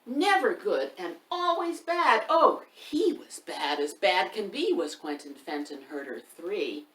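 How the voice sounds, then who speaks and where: A woman's voice: lowish voice, big pitch swings, a little slow, a bit bright. A woman hosting a storytime for children, speaking unhurriedly and directly to young listeners as she chats and reads a picture book expressively. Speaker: children's author and storytime host. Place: indoors by a fireplace, roomy sound. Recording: roomy, distant microphone with faint background noise.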